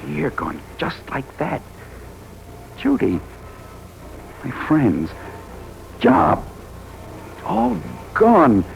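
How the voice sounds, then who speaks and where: An actor playing a bewildered, distressed, hungover reporter with amnesia in an American 1940s radio drama, questioning everything in disbelief. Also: male voice, fairly high pitch, huge pitch swings, very slow, creaky, long pauses, slight background noise, heavily acted. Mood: stunned, distressed. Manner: agitated, incredulous questioning. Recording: muffled 1940s radio recording with music.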